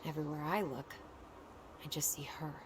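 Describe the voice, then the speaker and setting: A guarded, practical young American woman, played by an actress in a video game. Her voice sits a little low and she speaks in a dry, understated way. Clear background noise and ambience run behind her. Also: fairly even intonation, fairly quick, close to an everyday voice. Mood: wistful, dry. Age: young adult. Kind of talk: naturalistic video game cutscene acting. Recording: noisy game stream capture with ambience.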